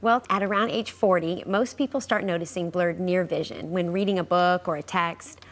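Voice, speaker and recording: A woman optometrist calmly explaining eyesight as an infomercial guest in a studio. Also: lowish voice, normal speed. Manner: calm, explanatory. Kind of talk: scripted infomercial chat. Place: TV studio set.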